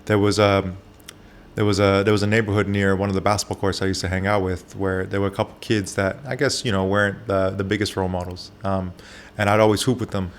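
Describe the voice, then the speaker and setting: A man who grew up in Japan, a barber, answering interview questions candidly, with soft pauses and frequent fillers. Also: sits low, little pitch movement, slight background noise.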